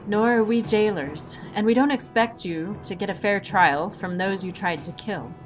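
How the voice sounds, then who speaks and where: A woman acts out a calm, principled heroine of an adventure audio drama. The mid-pitched voice is measured and exact, with a dry, pedantic edge. Soft music runs behind it. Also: natural voice, rough recording. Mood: stern. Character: composed, principled, pedantic heroine who reasons with villains.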